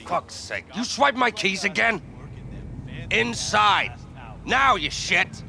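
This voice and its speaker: An actor playing a hostile, drunken, bullying father in a video game, gravelly and belligerent. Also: sits high, sing-song, takes it slowly, sharp tone, heavily acted. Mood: furious, bullying. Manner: belligerent, swearing, barking orders.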